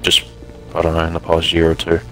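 A man's voice: low voice, monotone, quick pace, bright, forceful tone, soft background music, poor audio. A man playing a bitter, grieving apocalypse survivor, blunt and downcast, in an improvised game roleplay. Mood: apologetic, worried. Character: bitter, grieving young male apocalypse survivor seeking his brother.

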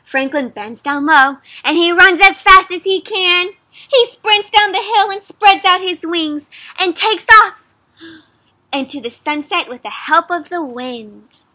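Young woman, children's read-aloud host. Very bright, high voice, animated and engaging with young listeners. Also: unhurried. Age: young adult. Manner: animated, addresses listeners with frequent questions. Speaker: children's story reader, host. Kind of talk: interactive picture-book read-aloud for kids. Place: outdoors under trees.

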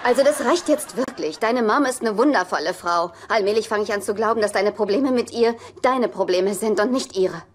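An actress playing a sincere, firm fiancée in dubbed sitcom dialogue. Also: female voice, medium pitch, normal speed, few pauses, close to an everyday voice. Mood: exasperated. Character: sincere, exasperated fiancée defending her future mother-in-law. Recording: noisy TV soundtrack.

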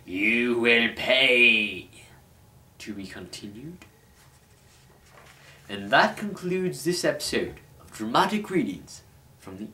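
A young man hosts a mock-dramatic reading with formal, pompous flair. His medium-pitched voice moves in lively, wide swings. The recording is echoey, picked up from a short distance in a small room. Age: young adult. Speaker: host and reader. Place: small dim room at home. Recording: roomy, distant camera microphone.

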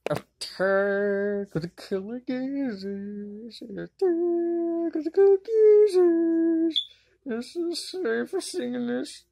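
A woman voicing a jokey, excitable young boy wizard in a cartoon fan dub, cracking wisecracks and yelping exclamations. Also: high-pitched, slow, exaggerated voice.

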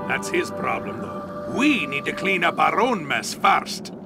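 A burly, mischievous dwarf warrior from a fantasy game. His voice sits high and swings widely, hearty and blunt, at an unhurried pace. Loud music plays behind him. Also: very animated. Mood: resolute. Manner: hearty, rough-edged, jovial. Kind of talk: video game cutscene acting.

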